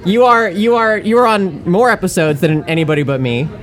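A woman's voice: on the low side, a little fast, a faint crowd. A woman hosting a live podcast in a bar, joking loosely with guests and laughing a lot between her fillers. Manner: laid-back, joking, full of fillers and laughter. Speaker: podcast host.

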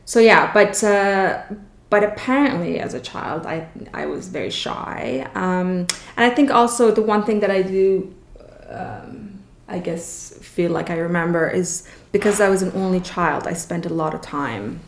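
A woman from Iran, an artist guest in an interview, speaking casually and hesitantly with many pauses and restarts. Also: fairly low pitch, creaky. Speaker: guest, artist and hat designer. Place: studio surrounded by hats. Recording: roomy echo.

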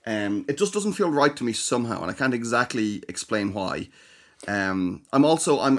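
A young man with a fairly low voice talks through his ideas in a relaxed podcast chat. He hesitates a lot, restarting sentences and filling gaps with ums. His delivery is careful and explanatory. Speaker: podcast co-host. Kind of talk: casual chat.

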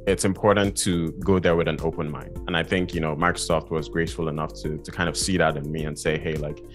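A man's voice: rumbling low, level tone, fast talker, music in the background. A young adult man from Nigeria, a guest in an interview, talking casually and thoughtfully with many fillers and restarts. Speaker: guest designer.

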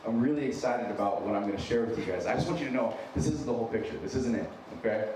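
A man's voice: lowish voice, fast. A man in his thirties with an American accent touched by Minnesotan gives a conversational sermon to an audience, informal, self-deprecating and earnest, repeating phrases for emphasis. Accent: American with a slight Minnesotan touch. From United States, born in San Diego and raised in Wyoming. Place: large echoey room with an audience. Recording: very echoey noisy hall, distant mic.

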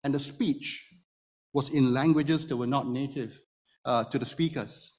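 A man's voice: mid-range voice, unhurried. A man who is a native Hokkien speaker, preaching an explanatory sermon to a congregation and putting rhetorical questions to his listeners. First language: Hokkien Chinese. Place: church room before a congregation. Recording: reverberant hall, long echo.

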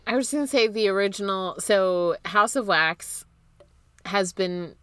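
A woman's voice: mid-range voice, unhurried, a loud crowd. A woman co-hosting a podcast in casual film chat, blunt and informal, with casual swearing. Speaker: podcast co-host.

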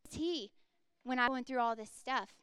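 A woman's voice: high-pitched, moderate pace, sharp tone, smooth, dry recording. A woman in her thirties preaching a sermon in an earnest, impassioned way. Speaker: speaker.

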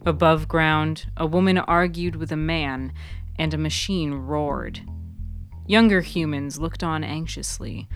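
Female narrator for serial fiction: low register, deliberate scripted delivery, music underneath. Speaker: author and narrator of a fiction podcast.

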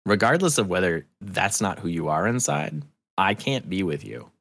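Low-voiced man chatting, dry close sound. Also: lively intonation, moderate pace, a bit bright, no echo. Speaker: podcast guest, audio drama creator. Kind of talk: casual conversational storytelling.

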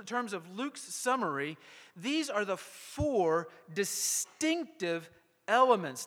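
A male pastor preaching a sermon to a congregation, emphatic and rhetorical, with deliberate pauses. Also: sits high, sharp tone. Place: church sanctuary. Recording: long hall reverb.

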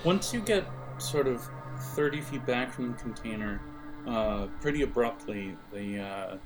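A man running an improvised tabletop role-playing game, narrating in a halting, conversational way. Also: mid-range voice, lively intonation, rough recording. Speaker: game master. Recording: music behind the voice. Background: music.

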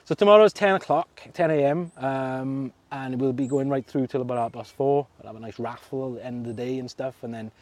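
A man's voice: medium pitch, fairly quick, hardly any pauses. A man from Merthyr Tydfil with a South Wales accent, chatting as a guest in a casual interview, laughing often and full of fillers and restarts. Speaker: fishing club secretary, guest.

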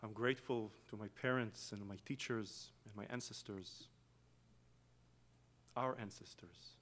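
Soft-spoken Israeli man preaching slowly. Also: on the low side, fairly even intonation. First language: Hebrew. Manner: slow, reflective, with long pauses and soft trailing phrases. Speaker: guest preacher. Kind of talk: meditative sermon with chanted Hebrew opening. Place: church.

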